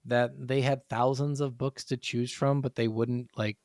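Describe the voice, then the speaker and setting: A man's voice: mid-range voice, little pitch movement, a little slow, darkish tone, no room sound, clean studio sound. A man co-hosting a gaming podcast, chatting casually with sarcastic, teasing remarks and swearing. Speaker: podcast co-host.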